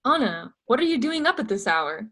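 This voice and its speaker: A woman reading the part of a cautious older male physician and astronomer, hesitant and gently apologetic, in an amateur readers theatre. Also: on the high side, big pitch swings, a little fast, exaggerated voice. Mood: guarded.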